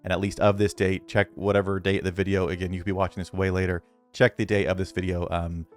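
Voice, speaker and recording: A man's voice: deep voice, little pitch movement, fast, clear, resonant tone, faint music behind. A man who makes videos about a mobile strategy game, talking casually and earnestly to his viewers, rambling with many restarts and fillers.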